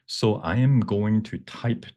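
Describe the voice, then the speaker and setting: A man teaching a live software demonstration, explaining step by step in a halting, self-repeating way and switching between two languages. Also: on the low side. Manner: bilingual, switches between English and Cantonese. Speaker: workshop presenter, instructor.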